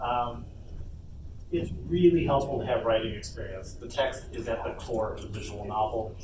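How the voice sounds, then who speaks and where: Man, workshop host, casual presenting style, hesitant pauses, distant mic, background room noise. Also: medium pitch. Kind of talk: informal convention workshop presentation. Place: indoor convention panel room. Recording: roomy, distant mic, noisy convention room.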